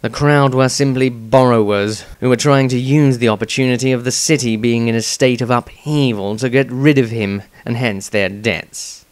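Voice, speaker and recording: A man voicing a cold, misanthropic fighter with a deliberate, contemptuous manner in a fantasy diary reading. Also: mid-range voice, fairly even intonation, no echo, close to an everyday voice. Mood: indifferent, scornful. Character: cold, misanthropic male fighter, menacing and scornful.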